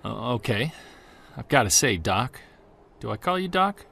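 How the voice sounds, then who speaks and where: A man's voice: medium pitch, sing-song, unhurried, vocal fry, fairly bright tone, exaggerated voice. An actor playing a Bigfoot investigator in his forties, who speaks earnestly with wry, self-deprecating humor. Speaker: Bigfoot investigator narrating recordings. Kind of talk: audio drama acting, recorded-journal narration.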